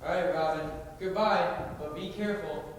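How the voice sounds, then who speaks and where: A mild, well-mannered young gentleman played by a teenage boy speaks in a fairly high male voice. He is earnest and timid in this stage play, and the sound is very echoey, caught from a distance. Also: moderate pace, close to an everyday voice. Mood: regretful, concerned. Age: teens. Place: large indoor school performance space. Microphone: distant camera or room microphone. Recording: echoey, noisy hall, recorded from far off.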